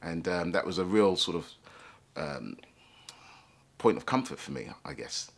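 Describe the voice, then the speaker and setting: A man with a deep, low-pitched voice tells his own life story. He speaks reflectively and thoughtfully, often pausing to find the right words. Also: expressive. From England, London. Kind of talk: personal life story told to camera.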